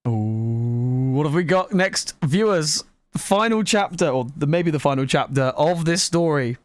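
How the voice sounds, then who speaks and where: A gaming vlogger giving casual, excitable playthrough commentary with playful asides and exclamations. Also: mid-range voice, moderate pace, no echo. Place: indoors at a computer.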